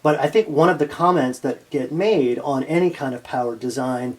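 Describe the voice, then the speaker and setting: A man's voice: mid-range voice, fast talker. A man interviewing a guest in a casual, rambling, informal conversational way.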